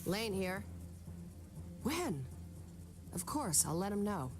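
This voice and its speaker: An actress playing a bold, brisk newspaper reporter in a video game, confident and wryly teasing. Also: female voice, medium pitch, big pitch swings, over music. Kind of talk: video game cutscene acting.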